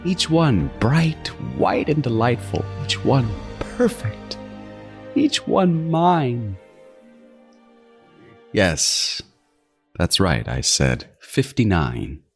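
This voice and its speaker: A man's voice: mid-range voice, wildly swooping pitch, faint music behind, full character voice. A man playing a meticulous, gloating serial killer in a horror audio drama, savoring his words in a theatrical monologue. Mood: rapturous, gloating. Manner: theatrical, savoring, deliberate phrasing with sudden swings.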